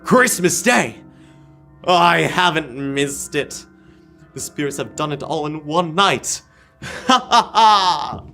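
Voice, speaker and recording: A man's voice: fairly high pitch, lively intonation, slow pace, sharp tone, heavily acted. A young man playing an old reformed miser giddy with joy, in exclamatory old-time radio drama style. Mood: giddy, joyful. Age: teenager. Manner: exclamatory, theatrical. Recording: live radio play with music.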